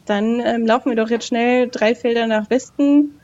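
A woman's voice: a little high, steady tone, moderate pace, darkish tone. A woman playing an audio role-playing game, improvising casually in a chatty, flustered way on a slightly dull recording.

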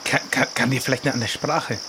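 A man's voice: medium pitch, steady tone, fairly quick, background noise. A man playing along in an improvised adventure audio play, with casual, exclamatory banter.

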